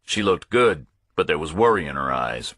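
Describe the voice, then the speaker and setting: A man's voice: a bass voice, very animated, normal speed, bright, forceful tone, husky, dry recording, studio quality. A man reading a first-person thriller audiobook as a scripted narrator, in terse, clipped phrases.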